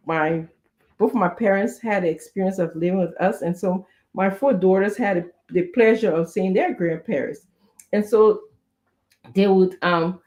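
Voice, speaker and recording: A middle-aged woman with a Liberian accent, giving earnest, conversational advice in an emphatic way with short, repeated exhortations. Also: lowish voice. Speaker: guest speaker giving parenting advice.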